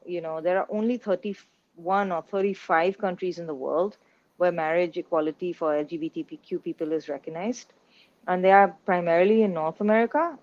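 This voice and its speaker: A woman's voice: lowish voice, no echo. Woman from India, interview guest, earnest, articulate, dry close sound, slightly muffled. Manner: earnest, articulate, building an argument. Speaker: guest, business executive. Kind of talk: interview answers.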